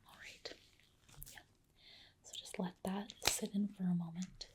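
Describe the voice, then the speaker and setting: A woman whispering softly and breathily in a soothing, reassuring ASMR roleplay as a dermatologist, close to the microphone. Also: medium pitch, fairly even intonation. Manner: soothing, reassuring, unhurried explanations.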